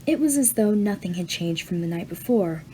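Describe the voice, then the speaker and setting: Woman audiobook reader, mellow and gentle, even storytelling. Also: mid-range voice, moderate pace, a little hiss or hum, rough recording. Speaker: audiobook narrator. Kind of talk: reading fan fiction aloud.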